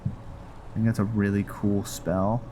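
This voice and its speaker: Low-voiced man rambling over gameplay. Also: level tone. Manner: rambling, halting, thinking aloud. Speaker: gameplay video host and role-player. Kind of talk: casual gameplay commentary. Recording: clear background noise, game ambience. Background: noise and video game ambience.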